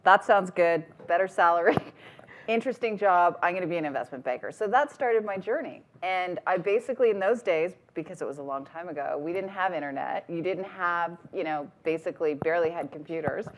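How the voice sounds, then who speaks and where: A middle-aged woman on a panel, telling her career story in a lively way and acting out what people said. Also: fairly low pitch, brisk. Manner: lively, laughing, acts out quotes. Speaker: panelist, businesswoman. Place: event hall with audience.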